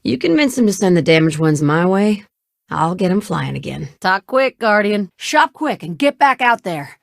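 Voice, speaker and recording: A sassy young woman who flies and fixes ships in a sci-fi video game. She talks in casual, folksy banter at a medium pitch. The sound is close and dry, with no room on it. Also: big pitch swings, moderate pace, creaky, dry recording. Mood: casual, chatty. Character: spirited, warm, sassy young woman pilot and ship mechanic. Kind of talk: video game character dialogue.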